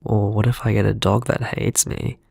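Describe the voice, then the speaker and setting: A creaky, anxious young man. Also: lowish voice, flat delivery, moderate pace, darkish tone, dry recording, full character voice. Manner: hesitant, worried. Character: anxious young man fretting about getting a dog. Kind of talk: audio drama voicemail monologue.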